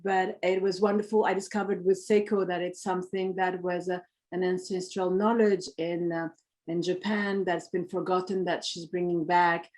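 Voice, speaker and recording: A woman's voice: on the low side, little pitch movement, normal speed, darkish tone. A woman moderating an online conference, speaking hesitantly with many filler sounds. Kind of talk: casual moderating.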